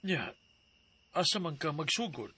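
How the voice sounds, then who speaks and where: Radio drama father, middle-aged and prayerful, Cebuano accent, very sharp tone, slightly high pitch. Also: sing-song, measured pace, slightly rough, exaggerated voice. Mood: interested, encouraging. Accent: Cebuano Filipino.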